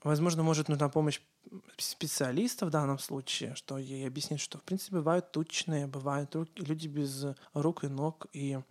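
A man's voice: on the high side, fairly even intonation, moderate pace. A man from Ukraine co-hosting a casual podcast, talking in an earnest, rambling way and often correcting himself mid-sentence. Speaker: podcast co-host.

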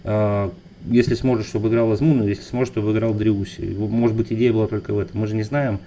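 A man's voice: fairly low pitch, fairly even intonation, fast talker, mellow, a little hiss or hum. A man co-hosting a football podcast, explaining tactics in a thoughtful, casual way, sometimes restarting words. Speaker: podcast co-host. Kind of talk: casual football analysis chat.

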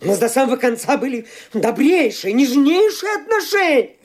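Casting: a desperate, agitated young nephew in radio drama, husky, widely swinging high voice. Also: male voice, sing-song, moderate pace, heavily acted. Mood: impatient, anxious. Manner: agitated, insistent questioning. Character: anxious, scheming young nephew, tense and desperate.

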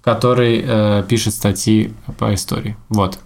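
A man's voice: lowish voice, fairly even intonation, a little slow, vocal fry, noisy background. A man in his late twenties co-hosting a podcast in casual, conversational talk with friends. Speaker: podcast co-host, teacher and young historian. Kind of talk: casual group podcast chat.

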